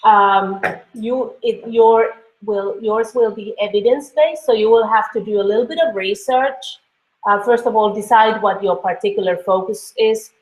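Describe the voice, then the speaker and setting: A woman's voice: mid-range voice, moderate pace. Finnish woman lecturing on a video call, chatty, lots of uhs and restarts, roomy echo. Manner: conversational, many fillers and restarts. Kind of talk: online course lecture. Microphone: computer mic on a video call. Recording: echoey room.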